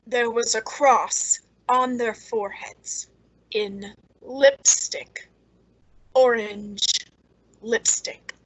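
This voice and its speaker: A woman's voice: on the high side, fairly even intonation, drawn-out words, no echo, exaggerated voice, low-quality recording. A woman playing a lonely, frightened, unstable spinster in an old-time radio suspense drama, with a nervous, intense manner. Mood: guarded, nervous.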